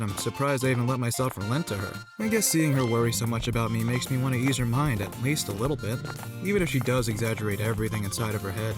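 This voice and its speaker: Quick-talking man as a wry teenage narrator. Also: medium pitch, lightly acted. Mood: resigned. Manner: wry, resigned. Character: wry, apathetic teenage boy narrating his school life. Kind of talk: scripted first-person visual novel narration.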